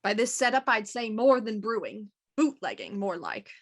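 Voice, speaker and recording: A woman's voice: medium pitch, very animated, normal speed. A woman playing a shrewd, unflappable police inspector in a radio murder mystery, with a dry, self-assured manner. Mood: wry, knowing. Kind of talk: audio drama acting, Victorian murder mystery radio program.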